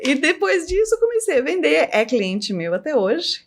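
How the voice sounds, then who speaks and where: A middle-aged woman, a business owner telling stories as a podcast guest, her voice leaping up high as she laughs through anecdotes. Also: normal speed. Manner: lively storyteller, voice jumps up high in anecdotes, laughs often. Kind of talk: casual storytelling interview.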